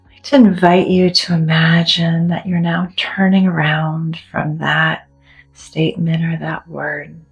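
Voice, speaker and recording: A woman leading a guided meditation in a gentle, soothing, deliberate way, leaving long pauses between phrases. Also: sits low, level tone, vocal fry, dull audio. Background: soft background music.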